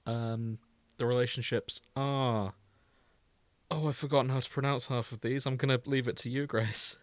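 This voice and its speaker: A man's voice: medium pitch, steady tone, normal speed, no room sound. Wry man podcaster, dry close sound. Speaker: podcast co-host. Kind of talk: casual chat.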